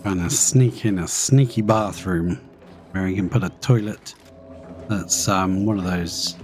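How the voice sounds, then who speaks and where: A man casually commenting on his live gameplay, chatty and thinking aloud as he plays, his voice slightly croaky after being ill. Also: low voice, unhurried, darkish tone, slight background noise. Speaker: live streamer.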